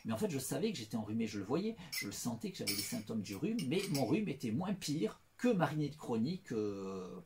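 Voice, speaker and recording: A man's voice: medium pitch, big pitch swings, fairly quick, hardly any pauses, noisy background. A middle-aged man talking through a meandering personal monologue, full of restarts, fillers and repeated phrases. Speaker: self-styled philosopher.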